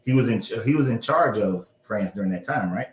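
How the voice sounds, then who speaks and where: A man's voice: fairly low pitch, lively intonation, brisk. A man hosting a casual history discussion, talking loosely with some swearing and correcting himself as he goes. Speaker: show host. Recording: roomy echo.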